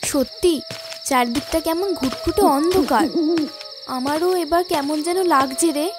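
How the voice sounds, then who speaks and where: A woman voicing a supportive young female student in an animated horror story. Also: sits high, normal speed, background noise, close to an everyday voice. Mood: uneasy.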